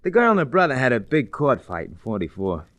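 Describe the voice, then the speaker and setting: A grumbling 1940s radio taxi driver, chatty and full of slang. The pitch is middling but jumps around a lot, and the sound is dry. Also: male voice, wildly swooping pitch, fairly quick, fairly bright tone, no room sound. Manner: slangy, colloquial wisecracking. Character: grumbling, chatty working-class male cab driver. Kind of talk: 1940s radio drama acting.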